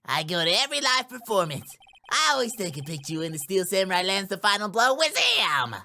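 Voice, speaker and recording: A young boy on the witness stand in a video game. He is nervous and defensive, with a stammering delivery. The voice is high, animated and crackly. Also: fairly quick, creaky, full character voice. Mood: boastful.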